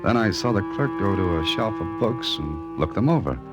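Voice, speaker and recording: A man's voice: low-pitched, expressive, moderate pace. A man playing a cool, wry novelist turned amateur sleuth in a 1940s radio drama, with a dry, teasing, understated manner. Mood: tense narrating. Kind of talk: 1940s radio drama acting and first-person narration. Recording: vintage radio recording with music.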